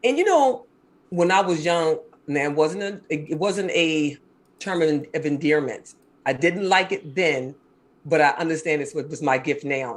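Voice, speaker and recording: A woman in her fifties from Maryland with a deep, husky voice, talking fast and animatedly as an interview guest. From Maryland, USA. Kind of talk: casual interview chat.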